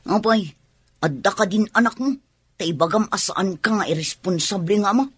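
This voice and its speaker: An actress playing an anxious young bride who questions and reproaches, in an Ilocano radio drama. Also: female voice, medium pitch, moderate pace, slightly rough, no echo. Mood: doubtful.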